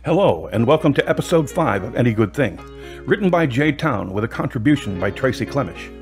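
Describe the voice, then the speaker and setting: A man with a husky voice reading scripted narration for an audio drama. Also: mid-range voice, very animated, brisk, soft background music.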